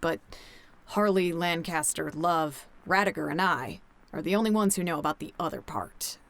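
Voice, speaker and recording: An actress playing a tired, blunt scientist in an audio drama, talking in a terse, deadpan, conversational way. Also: female voice, fairly low pitch, moderate pace. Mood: uneasy, flat. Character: tired, blunt female scientist doctor, dryly uneasy.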